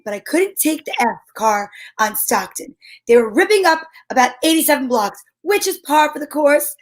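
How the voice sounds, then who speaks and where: A woman's voice: high-pitched, poor audio. A woman playing a wisecracking, confident female private eye who narrates her case in a wry old-time radio style. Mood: wry, narrating.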